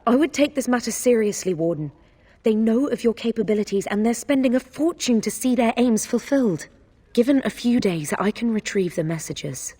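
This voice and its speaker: A woman playing a guarded, shrewd noblewoman informant in a fantasy game, speaking in a deliberate, confiding way. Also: fairly high pitch, animated, mellow, slightly rough. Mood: secretive, grave. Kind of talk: scripted fantasy game dialogue.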